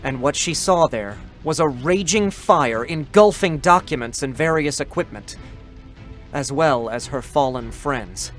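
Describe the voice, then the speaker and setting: Guy narrator, slightly high voice, dramatic scripted storytelling, soft music behind. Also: expressive, measured pace.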